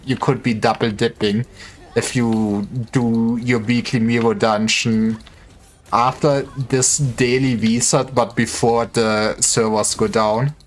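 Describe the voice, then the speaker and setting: A man's voice: medium pitch, little pitch movement, slow pace. A man livestreaming games, chatting casually in a joking, rambling way with some stammering. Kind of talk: casual gaming livestream chat.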